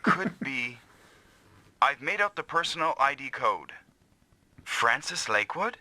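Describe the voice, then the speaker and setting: An actor voicing a dutiful, earnest military operator in an anime-style video game, with crisp briefing delivery. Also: male voice, medium pitch, big pitch swings, measured pace, bright, forceful tone, heavily acted. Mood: businesslike. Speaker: operations and communications officer.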